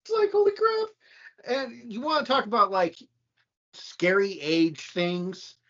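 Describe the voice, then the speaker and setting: Animated man in his late thirties. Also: measured pace. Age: late 30s. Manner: animated storytelling, rising to high exclamations. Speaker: podcast host. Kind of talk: casual chat. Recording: dull lo-fi sound.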